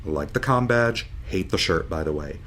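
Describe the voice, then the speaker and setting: A man's voice: low-pitched, animated, normal speed, a bit bright, a little hiss or hum. A man acting in an audio drama as a jovial, bewildered starship pilot just woken from a long sleep, informal and earnest. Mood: wry, upbeat. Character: jovial, bewildered starship pilot waking after seventeen years asleep.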